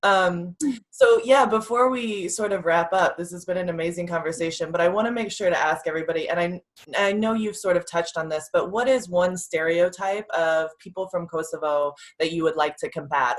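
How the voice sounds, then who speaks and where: A woman's voice: lowish voice, fairly quick. A woman with an American accent hosting an interview in an informal, chatty way. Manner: informal, peppers speech with "like". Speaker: podcast host, interviewer. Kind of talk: casual chat.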